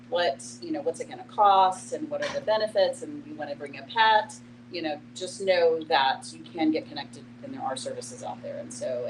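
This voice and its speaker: A woman facilitating a group discussion, explaining things informally in halting, piece-by-piece phrases. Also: low voice. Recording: clear background noise and hum.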